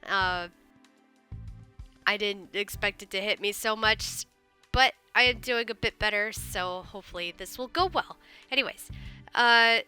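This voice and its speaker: A woman's voice: medium pitch, unhurried, long pauses, bright, forceful tone, clean tone, soft background music. A woman hosting a let's play, recovering from a cold, chatting casually and rambling as she reads along. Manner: rambling, chatty, frequent fillers and restarts. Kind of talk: casual gameplay commentary and reading aloud.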